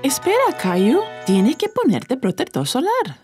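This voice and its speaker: An actress playing a caring, attentive young mother who gently coaxes in a children's cartoon dub. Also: high voice, wildly swooping pitch, unhurried, music in the background, heavily acted. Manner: gentle, coaxing.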